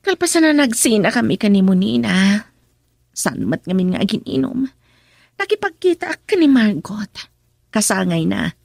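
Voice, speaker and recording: A woman with an Ilocano accent playing a defiant, tipsy married daughter in a radio drama, with a creaky voice. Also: medium pitch, big pitch swings, moderate pace, a little mellow, dry recording, studio quality. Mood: tipsy, defensive.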